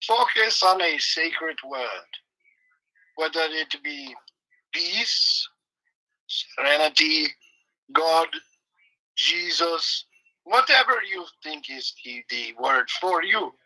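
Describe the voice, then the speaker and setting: Guy w a real bright sharp voice, choppy broken english, deadpan jokes, slow careful meditation guide. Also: a little high, poor audio. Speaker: guest co-host. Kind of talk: rambling comic chat turning to guided meditation.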